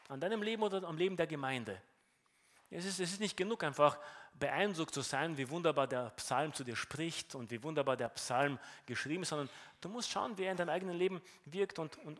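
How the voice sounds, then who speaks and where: A man with a fairly high voice and a Romanian accent delivers a sermon. He speaks earnestly, explaining and asking questions of his listeners. He often repeats a word as he restarts a thought. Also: a bit bright. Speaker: preacher.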